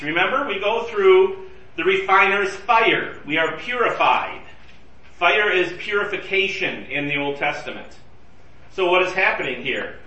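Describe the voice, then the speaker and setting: A man's voice: high voice, sharp tone. A man teaching a Bible study class in a conversational way, asking his group questions. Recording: roomy and distant from the mic.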